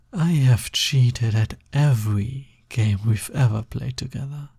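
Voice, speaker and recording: A man plays a snake demon roommate in an intimate audio roleplay, flirty and pushy. His voice is slow and mellow, with a gravelly creak at the edges. He teases and coaxes in a casual, breathy way. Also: medium pitch, no echo, clean studio sound, full character voice. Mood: smug, flirty. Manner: teasing, coaxing, casual.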